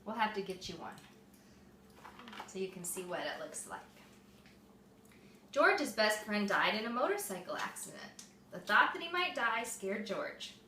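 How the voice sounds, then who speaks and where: A woman reading a picture book aloud to young children, expressive and explaining things simply, like a mother at storytime. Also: mid-range voice, unhurried, long pauses. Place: echoey room with small children present. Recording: echoey room, distant mic, faint noise.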